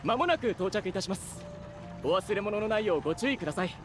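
A woman voicing a courteous, gentle fairytale train conductor in a game, giving formal, attentive announcements over prominent music. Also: mid-range voice, animated, quick pace, natural voice. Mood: polite, helpful. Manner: formal announcement delivery, polite. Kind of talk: Japanese video game voice acting.

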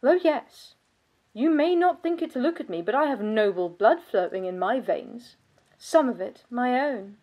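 An actress playing a bitter, world-weary aristocratic vampire countess in a theatrical monologue, pompous and sardonic in manner. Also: female voice, on the high side, expressive, a little slow, dark tone. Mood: haughty, disgusted. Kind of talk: scripted dramatic monologue.

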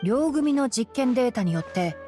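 A woman voicing a calm young male fox-eared forest scholar in a Japanese anime game, explaining in a measured, boyish way. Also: fairly high pitch, natural voice. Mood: quietly reproving. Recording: music behind the voice.

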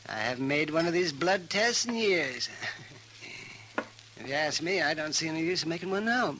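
An actor playing a crusty, teasing frontier doctor who mutters and chuckles, in a 1950s radio western. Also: male voice, fairly high pitch, expressive, normal speed, pushed and bright. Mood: fussy, absorbed. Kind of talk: 1950s radio drama acting. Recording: vintage 1950s radio recording, faint noise.

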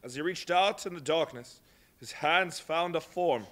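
Male stage narrator, measured delivery, bright and fairly high voice. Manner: measured storytelling. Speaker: narrator and actor. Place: large hall with audience.

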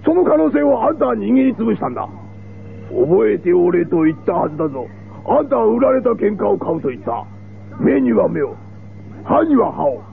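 An actor playing an old, impassioned, vengeful Italian mafia godfather in a Japanese radio drama, with a creaky voice. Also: high voice, sing-song, fairly quick, full character voice. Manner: theatrical, impassioned. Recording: tinny, noisy old radio recording.

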